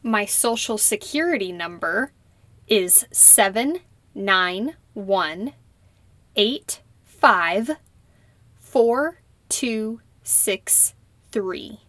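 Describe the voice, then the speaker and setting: A young woman playing a polite citizenship applicant who answers slowly and carefully in a scripted practice interview. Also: medium pitch, animated, fairly bright tone, no room sound. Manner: slow, careful, deliberate answers. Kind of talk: scripted role-play interview.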